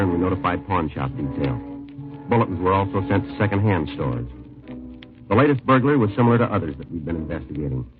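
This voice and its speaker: A tinny vintage radio recording with music behind it carries an actor as a male police detective. He talks fast and clipped, in a deep, matter-of-fact deadpan, all business. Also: lightly acted. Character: terse, businesslike male police sergeant detective narrating his case. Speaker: detective and narrator. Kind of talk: 1950s radio crime drama acting and narration.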